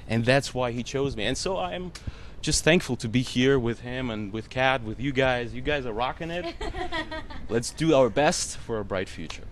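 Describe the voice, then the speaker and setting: A man's voice: medium pitch, slight background noise. A man, an actor whose first language is Russian, answering a panel interviewer in an earnest, emotional way, full of "you know" fillers.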